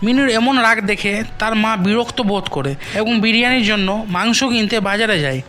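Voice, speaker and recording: A woman's voice: a little high, a little fast, fairly bright tone. A woman reading scripted storybook narration for a cartoon moral tale. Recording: music behind the voice.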